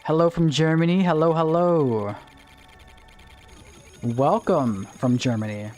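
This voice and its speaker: A man's voice: on the high side, takes it slowly, a little mellow, faint music behind. A young man chatting casually on a livestream, greeting viewers and explaining game items as he plays. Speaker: streamer. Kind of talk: casual livestream chat while gaming.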